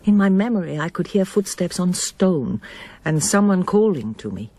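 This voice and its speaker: A woman's voice: on the low side, big pitch swings, normal speed, warm tone. A woman playing a reflective, hesitant older woman haunted by her past in a radio drama. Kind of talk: radio drama acting and first-person narration.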